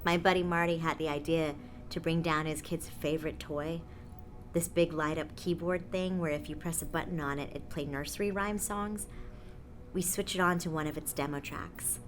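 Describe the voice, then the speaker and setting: Casting: actress as guarded apartment tenant, low female voice, casual and terse, naturalistic podcast drama with music behind. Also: fairly even intonation, normal speed, natural voice. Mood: intrigued. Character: casual, guarded woman tenant devoted to a mysterious hole.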